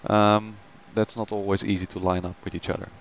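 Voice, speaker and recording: Low-voiced man live streaming a flight. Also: level tone, fast, muffled recording, a little hiss or hum. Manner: chatty, greets viewers by name, many ums. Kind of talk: casual live stream chat.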